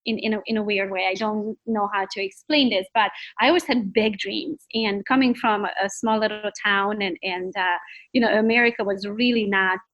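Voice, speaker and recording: A woman's voice: medium pitch, fairly even intonation, moderate pace, a bit bright, highs cut off. A woman in her thirties with a Romanian accent, telling her personal story in a chatty, candid way as an interview guest. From Transylvania, Romania. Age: 30s. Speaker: podcast guest, realtor.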